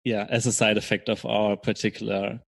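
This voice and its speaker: A man answering as an interview guest in casual chat, explaining things in a measured, hesitant way. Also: fairly low pitch, flat delivery, creaky, fairly bright tone, slightly rough, no room sound. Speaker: podcast guest, software startup co-founder.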